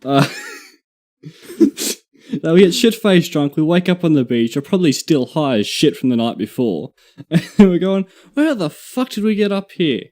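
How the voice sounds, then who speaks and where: A man's voice: fairly high pitch, sing-song, measured pace, a little mellow. Laughing, foul-mouthed man. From Australia. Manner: laughing, profane, joking. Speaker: podcast guest. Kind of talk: casual chat.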